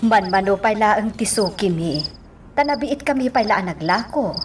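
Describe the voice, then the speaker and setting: A woman's voice: medium pitch, normal speed, far from the mic, noisy background, lightly acted. A woman with an Ilocano accent acting a worried, plaintive mother in a radio drama.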